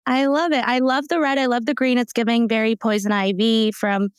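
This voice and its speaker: A woman's voice: a little high, fairly even intonation, brisk, smooth, dry recording, clean studio sound. A young American woman hosting a podcast interview in a casual, slangy, affirming way, asking questions conversationally. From Texas, United States.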